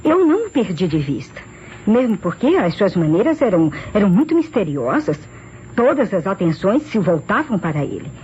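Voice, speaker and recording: A woman playing a nervous Brazilian witness in a vintage radio mystery. She speaks emphatically and theatrically, her pitch swinging widely. The recording is dull and faintly noisy. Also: big pitch swings, moderate pace, a little mellow, natural voice. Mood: dramatic, emphatic. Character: nervous, dramatic female guest witness at a mystery. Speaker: actress in a radio mystery serial. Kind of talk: old Brazilian radio drama acting.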